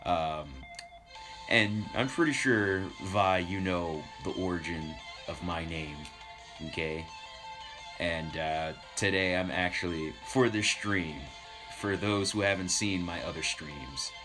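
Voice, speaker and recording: Deep-voiced man rambling on a livestream. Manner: rambling, chatty, addressing viewers. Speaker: livestream host. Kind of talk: casual livestream storytelling. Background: background music.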